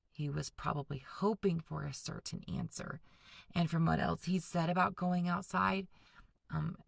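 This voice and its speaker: Low, gravelly-voiced woman teacher reading aloud. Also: dry recording, studio quality. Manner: patient, explanatory, addresses students directly. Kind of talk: classroom read-aloud with discussion breaks.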